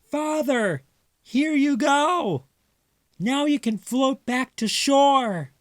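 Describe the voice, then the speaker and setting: A man voicing excited little mouse children in a storybook, with eager, exclaiming calls. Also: dry recording, studio quality, heavily acted. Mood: eager, urgent. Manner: high, exclaiming, drawn-out calls. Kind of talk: storybook read-aloud character voice.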